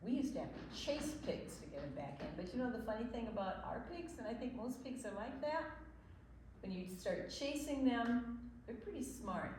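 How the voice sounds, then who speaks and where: An older woman reading aloud to young children, deliberate and expressive, with chatty asides and questions to her listeners. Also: a little high, background noise. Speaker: children's storytime reader.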